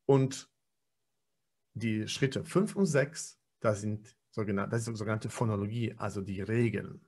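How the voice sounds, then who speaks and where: A man's voice: on the low side, measured pace, a little mellow, slightly rough. A man in his forties giving an online pronunciation lecture over a webcam, explaining with frequent fillers and self-corrections. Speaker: pronunciation trainer. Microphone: webcam video call.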